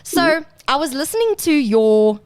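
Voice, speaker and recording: A woman's voice: sits high, big pitch swings, a little slow, no room sound. A woman hosting a radio interview, gushing and effusive in her praise. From South Africa. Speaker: radio host. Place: radio studio.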